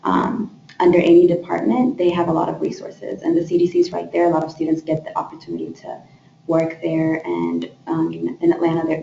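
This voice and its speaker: A woman's voice: deep voice, mellow. A young woman from Ethiopia, a graduate student, introducing herself informally and a little hesitantly, restarting phrases. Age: young adult. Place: echoey room, away from the mic. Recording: distant, echoey, muffled, noisy room.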